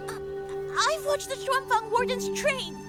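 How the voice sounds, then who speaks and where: A woman's voice: extremely high pitch, measured pace, over loud music. A woman voicing a stubborn, earnest young girl in grief, as in a game drama. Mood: upset, defiant.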